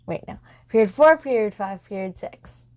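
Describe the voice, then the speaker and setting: A woman's voice: mid-range voice, expressive, moderate pace. A young female student chatting casually as a podcast co-host, playful and giggly, with a stubbornly silly streak. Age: young, school student. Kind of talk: casual chat.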